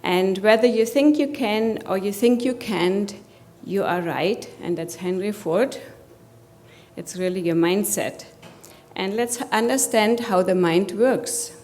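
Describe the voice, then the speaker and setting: A woman's voice: medium pitch, slow pace, darkish tone, a little hiss or hum. A woman from Germany with a German accent, giving a motivational conference presentation and putting rhetorical questions to the audience. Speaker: conference speaker.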